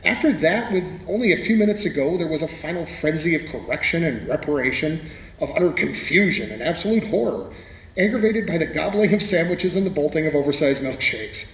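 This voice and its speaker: An erudite, wry playwright, acted by a man, with a slightly high voice. He tells his story in literary phrases with hesitant starts, in a reverberant hall with faint noise. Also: animated, brisk. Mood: dramatic. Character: erudite, wry male radio playwright being interviewed. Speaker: interview guest. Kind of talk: scripted interview reminiscence in radio drama.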